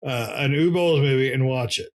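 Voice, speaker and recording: A man's voice: mid-range voice, moderate pace. Jokey man in casual podcast banter. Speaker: podcast co-host. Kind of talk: casual, joking banter about movies.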